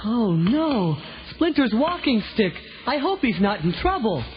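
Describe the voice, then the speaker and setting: An actor playing a wisecracking young mutant turtle in a children's audio drama, wry and exclamatory. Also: male voice, very high-pitched, very animated, unhurried, heavily acted. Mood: worried. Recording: dull lo-fi audio, music behind.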